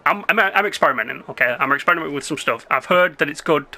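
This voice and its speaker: Young guy chatting over a city builder, super bright voice, bit hoarse, music playing behind. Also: on the high side, lively intonation, fast. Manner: chatty, rambling, self-interrupting. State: losing his voice. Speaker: gameplay commentator. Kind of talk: casual gameplay commentary.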